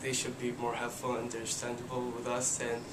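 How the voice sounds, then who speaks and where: A young man, answering interview questions as an international student in a soft-spoken, slightly halting way. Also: medium pitch. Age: young adult. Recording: steady background noise.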